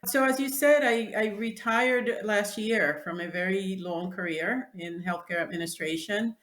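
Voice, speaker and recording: A woman's voice: medium pitch, unhurried, fairly bright tone. A woman in her sixties from the Dominican Republic, a Spanish native speaker, answering interview questions in a reflective way with frequent restarts. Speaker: guest, clothing designer. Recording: echoey room.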